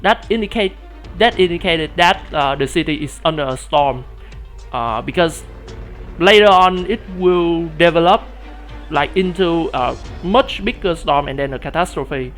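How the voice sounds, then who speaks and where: Guy with a kinda high baby-ish voice, rambling stream chat, lots of uh and like, laughs a bunch, faint music behind. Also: expressive, unhurried, fairly bright tone. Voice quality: youthful, boyish voice. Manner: rambling, laughs often, many fillers and restarts. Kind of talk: casual live-stream commentary.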